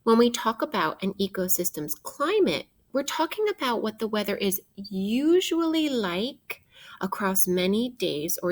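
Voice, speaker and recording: Female teacher, interactive read-aloud for young kids, unhurried pace, mid-range pitch, deliberate delivery with direct questions. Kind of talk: interactive children's read-aloud of a science book.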